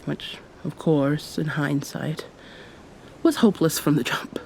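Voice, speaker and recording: Woman playing a soft, low, mellow pirate succubus captain. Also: slow, a little hiss or hum, exaggerated voice, poor audio. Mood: wry storytelling. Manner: soft, breathy, often near-whisper, formal old-fashioned phrasing. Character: female pirate succubus captain, centuries old, affectionate, teasing, commanding. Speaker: ASMR roleplay performer. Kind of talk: intimate ASMR roleplay.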